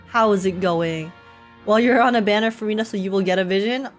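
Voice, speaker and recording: A woman's voice: medium pitch, a little fast, soft background music. A young woman chatting casually as she livestreams a game, with a giggly, slangy manner. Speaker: live streamer.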